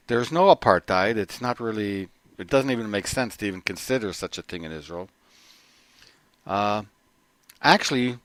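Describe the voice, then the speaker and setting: A middle-aged man giving an earnest personal testimony, often halting and restarting his phrases. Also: mid-range voice, fairly bright tone, no echo. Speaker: corporate trainer.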